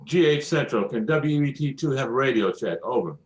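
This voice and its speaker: A man's voice: medium pitch, expressive, brisk. A man making brief, formal procedural radio calls in clipped phrases as a trainee operator.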